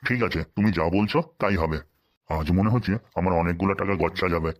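A man's voice: sits low, quick pace, natural voice. A man voicing a sly, penny-pinching, henpecked husband in a comedy cartoon, speaking a rural western Bengal dialect with folksy, wry asides. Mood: placating. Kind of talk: comic cartoon dialogue.

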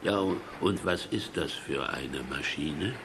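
An actor playing a naive, good-natured, slow-witted henchman creature in a children's audio drama, hesitant, questioning and repeating himself. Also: very deep voice, noisy background. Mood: curious, meek.

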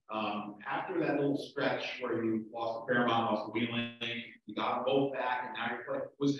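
A male reporter asks halting questions. His voice carries from far off in a very echoey room with a dull sound. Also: medium pitch.

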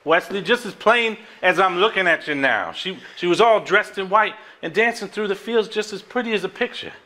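Actor as earnest, bright-voiced Southern man. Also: high-pitched, normal speed, rough recording. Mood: hesitant, awed. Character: earnest, plain-spoken Southern family man. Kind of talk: live stage acting. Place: theater stage before audience.

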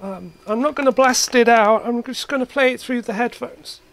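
A man's voice: very high-pitched, normal speed, vocal fry, fairly bright tone. A man giving a casual, hands-on demonstration as an electronics hobbyist in his workshop. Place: cluttered indoor electronics workshop.

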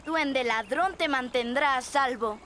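High, cooing little thieving goblin. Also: a little slow. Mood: doting. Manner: cooing, talks of self in third person. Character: childlike thieving goblin, sly, doting, speaks in third person. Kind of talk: children's cartoon dub. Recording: music behind the voice. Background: music.

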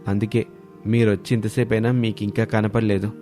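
A man voicing a caring, agreeable young husband and son in an animated moral story. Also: fairly low pitch, moderate pace, soft background music, lightly acted. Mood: reassuring.

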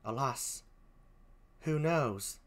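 A performer voicing an outraged, loyal and grieving gentlewoman with a put-on British accent, in theatrical Shakespearean acting. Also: medium pitch, animated, very slow. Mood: guarded. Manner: declamatory, impassioned, theatrical. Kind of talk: classical Shakespearean stage acting.

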